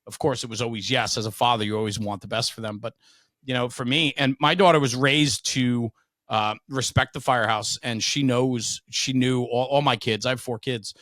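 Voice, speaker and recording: A man's voice: medium pitch, fairly even intonation, moderate pace, fairly bright tone, dry recording. A middle-aged man telling stories casually as an interview guest, frank, emphatic and opinionated. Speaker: podcast guest, veteran firefighter and speaker.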